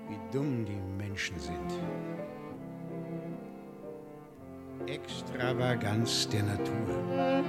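An actor playing a cultured, chatty gentleman spa guest in a radio play, urbane and musing. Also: medium pitch. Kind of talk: 1970s German radio play acting. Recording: string music playing behind. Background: bowed string music.

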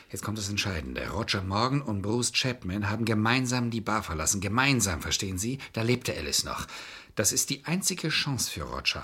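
An actor in a 1970s German radio drama playing a shrewd, controlled male defence lawyer, formal, deliberately emphatic and slightly husky. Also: fairly low pitch, bright, forceful tone, full character voice. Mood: urgent, calculating.

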